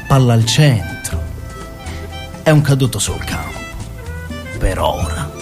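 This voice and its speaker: An actor playing a cocky, sexist mobster with a southern Italian accent, taunting and theatrical. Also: male voice, fairly high pitch, darkish tone. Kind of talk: stage monologue and dialogue in radio drama.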